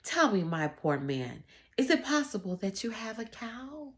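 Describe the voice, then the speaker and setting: A woman voicing a calm, commanding male rabbi in a storybook, giving firm and deliberate instructions. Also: on the high side. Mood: measured, probing. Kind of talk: storybook read-aloud.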